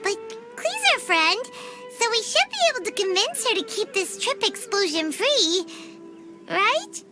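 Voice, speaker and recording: A woman voicing a tiny, chirpy, childlike fairy companion in an animated video game. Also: unhurried, full character voice. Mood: chirpy, encouraging. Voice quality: squeaky, childlike. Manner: speaks of self in third person. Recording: loud music behind.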